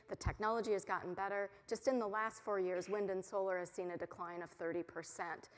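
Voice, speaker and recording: A middle-aged American woman giving a confident, upbeat conference keynote with frequent ums, recorded on a mic in a large hall. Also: mid-range voice. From United States. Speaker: keynote speaker. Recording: long reverb of a large hall.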